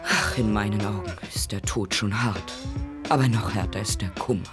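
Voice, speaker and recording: Hesitant low-voiced radio editor, music behind. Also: female voice. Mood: solemn, matter-of-fact. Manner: hesitant, halting. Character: earnest, rambling, easily unsettled woman radio editor. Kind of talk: live radio-play monologue.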